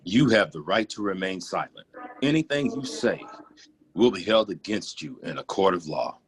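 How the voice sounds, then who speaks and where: A man's voice: low voice, animated, normal speed, slight background noise. A man playing a brash, cocky police officer in a drama table read, reciting in a declamatory way. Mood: officious, brash.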